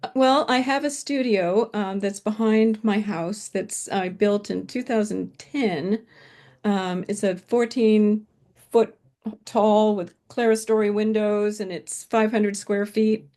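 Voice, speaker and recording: Older woman guest, casual and chatty, easy laughs, average pitch. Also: steady tone, slow, mellow. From British upbringing, lives in California. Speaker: interview guest, author. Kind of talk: casual interview conversation.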